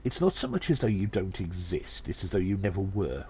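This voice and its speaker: Man acting a very deep, gravelly gnome storyteller. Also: very animated, a little fast, warm tone, slight background noise. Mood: conspiratorial. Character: little male gnome adventurer, conspiratorial storyteller addressing friends. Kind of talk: scripted audio drama monologue with dialogue.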